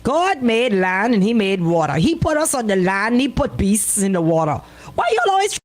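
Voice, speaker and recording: A woman with a Bahamian accent who co-hosts a radio show, chatting casually in an animated, opinionated and emphatic way. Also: mid-range voice, moderate pace. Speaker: radio host.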